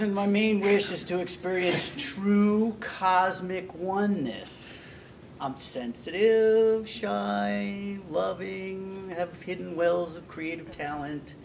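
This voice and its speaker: A spaced-out hippie wild man acted by a man drifts slowly through a comedy script. He is dreamy and halting, a little high in pitch, and sits away from the microphone. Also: creaky, darkish tone, heavily acted. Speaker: actor at a table read. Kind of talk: comedy script table read. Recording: noisy, distant room microphone.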